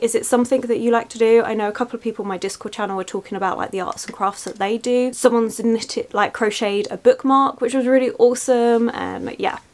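A woman's voice: fairly high pitch, darkish tone. Chatty young woman book vlogger. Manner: chatty, upbeat, rambling asides. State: stuffy from a cold. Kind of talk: casual reading vlog talk to camera. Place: small bedroom with bookshelves, indoors.

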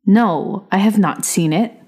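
Young chief's daughter, read by a woman, medium pitch, evasive and determined, storybook legend. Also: unhurried, a little mellow, breathy edge. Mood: evasive, firm.

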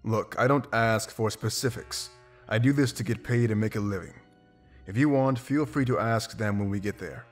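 Guy doing a deep blunt wolf mercenary from a video game, sure of himself, music underneath. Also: normal speed, close to an everyday voice. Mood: matter-of-fact. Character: confident gruff male wolf mercenary, pragmatic. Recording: music behind.

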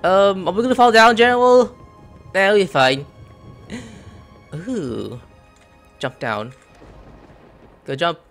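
A young man streaming a video game, reacting excitably, swearing and shouting at enemies. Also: high voice, slow pace, long pauses, soft background music. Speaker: gaming streamer. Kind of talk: casual gameplay commentary.

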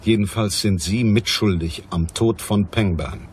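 A man playing a blunt, unyielding private detective in a crime radio play, with a dry, deliberate delivery. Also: lowish voice, noisy background, lightly acted. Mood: stern, accusing. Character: hard-boiled, stocky male private detective, blunt and unyielding.

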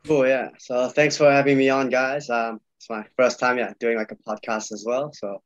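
A young man talks casually over a webcam call, loose and easygoing with plenty of filler words. His voice sits at an average pitch. The audio is muffled and bone-dry, with no room sound at all. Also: moderate pace, no room sound. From Malaysia. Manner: relaxed, loose, many fillers and repeats. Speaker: podcast guest, jiu-jitsu coach. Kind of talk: casual video-call chat. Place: small room indoors. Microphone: video call webcam.

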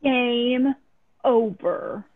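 A woman playing a smug, menacing male villain, taunting in a gravelly, husky voice at an amateur table read. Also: on the high side, fairly even intonation. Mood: gloating. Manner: slow, taunting. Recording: tinny band-limited call audio.

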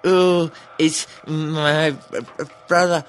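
An actor playing a nervous, apologetic rodent motel receptionist in a cartoon noir game, stammering and hesitant. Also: male voice, a little high, steady tone, takes it slowly.

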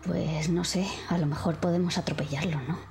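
A woman's voice: sits low, steady tone, normal speed, darkish tone, slight background noise. A woman with a Castilian Spanish accent casually narrating her character's actions as a player in a tabletop game. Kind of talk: casual first-person roleplay.

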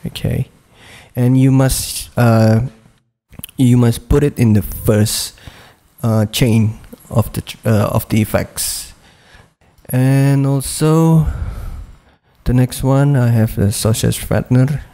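A man slowly talking through a music production project over a screen recording, with frequent pauses, "uh" and "okay". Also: medium pitch, fairly even intonation, dark tone. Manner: slow, hesitant walkthrough with frequent "uh" and "okay".

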